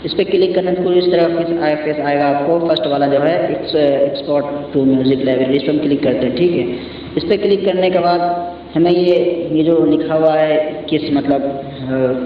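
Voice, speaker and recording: Echoey, higher-pitched man giving a tutorial. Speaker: tutorial host. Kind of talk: casual step-by-step phone app tutorial. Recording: echoey, muffled, distant mic, noisy background.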